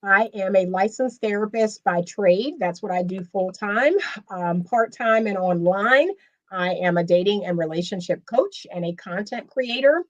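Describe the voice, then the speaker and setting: A woman's voice: medium pitch, a little slow, dull audio. A woman who is a therapist and relationship coach, a guest on a talk show, composed and plain-spoken.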